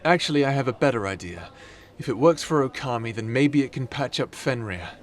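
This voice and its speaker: A man's voice: mid-range voice, animated, brisk, lightly acted. A man playing an anxious, earnest soldier in a fantasy audio roleplay, talking in an urgent, faltering way. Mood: anxious, frustrated.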